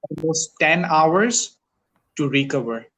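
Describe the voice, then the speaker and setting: A man's voice: a little high, animated, slow, fairly bright tone, poor audio. Man from Pakistan practicing English. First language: Urdu. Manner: careful learner's English, frequent uhs. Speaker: English teacher and channel host. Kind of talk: casual video call chat. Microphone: video call.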